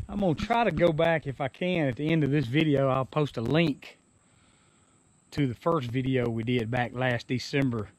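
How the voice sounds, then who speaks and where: A man's voice: medium pitch, low-quality recording. Folksy Southern man outdoors on a farm. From Alabama. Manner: folksy, rambling, stops and restarts mid-sentence. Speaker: farm owner showing a trailer. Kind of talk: casual walkaround demonstration. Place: outdoors on a rural farm lawn. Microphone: action camera held or worn, sometimes set down.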